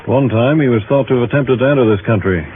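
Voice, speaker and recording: An actor playing a brisk, businesslike American government agent with a clipped, official manner in a 1950s radio drama. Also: male voice, medium pitch, close to an everyday voice. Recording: muffled noisy 1950s radio recording.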